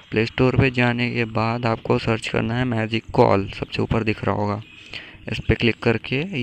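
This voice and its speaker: A young man talks viewers through an app in an informal, buddy-like way. His voice is mid-pitched with little melody and a noticeable fry. The recording has clear background noise. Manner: informal, addresses viewers as bro. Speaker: tech tutorial YouTuber. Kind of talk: casual phone app tutorial.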